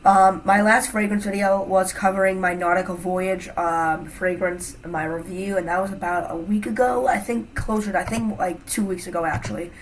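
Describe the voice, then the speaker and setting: A woman's voice: mid-range voice, fairly even intonation, moderate pace, few pauses, slight background noise, rough recording. A young woman with a cold, chatting casually to camera as a fragrance reviewer, rambling with lots of fillers. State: has a cold, a bit congested.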